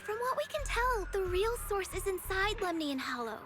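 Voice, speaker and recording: Very high-pitched earnest young disciple. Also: female voice, very animated, normal speed, noisy background. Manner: earnest, concerned. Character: earnest, worried young female martial-sect disciple. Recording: game audio under loud music.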